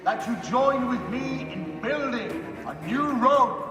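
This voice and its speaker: An actor playing a proud, commanding Roman dictator in a video game, with stately oratory. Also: male voice, very high-pitched, animated, takes it slowly, heavily acted. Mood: lofty appeal. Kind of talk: theatrical video game cutscene acting. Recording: echoey hall, music behind.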